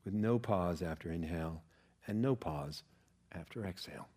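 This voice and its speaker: A man guiding a relaxation meditation as a yoga nidra teacher, speaking in a calm, measured, soothing way with pauses. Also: low-pitched.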